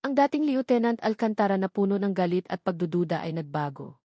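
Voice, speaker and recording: A woman's voice: mid-range voice, brisk, dark tone, no room sound, clean studio sound. A woman reading a scripted dramatic story as narrator.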